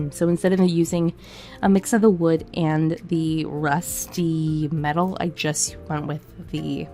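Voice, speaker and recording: A woman's voice: low voice, fairly even intonation, slow pace, warm tone. A woman recording a casual, chatty gaming voiceover while sick with a cough, her voice a little cracked. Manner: chatty, informal, conversational. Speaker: gaming video creator. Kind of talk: casual voiceover commentary on a game build. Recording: music behind the voice. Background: background music.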